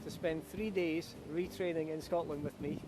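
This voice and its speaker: A middle-aged man from Kenya, a paragliding instructor, chatting with self-assured quick asides outdoors by a beach. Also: a little high, fairly even intonation. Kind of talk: casual chat to camera outdoors. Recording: outdoor wind and traffic noise.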